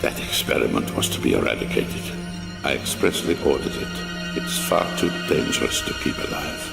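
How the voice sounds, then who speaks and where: An actor playing a cold, ruthless villain master in a video game, imperious, formal and gravelly. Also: male voice, a bass voice, animated, normal speed, full character voice. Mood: stern anger. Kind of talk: video game cutscene acting. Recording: echoing room under loud music.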